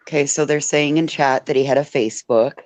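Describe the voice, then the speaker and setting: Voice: medium pitch, steady tone, brisk. A person chatting casually as a panelist on a true-crime livestream. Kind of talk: casual group livestream chat.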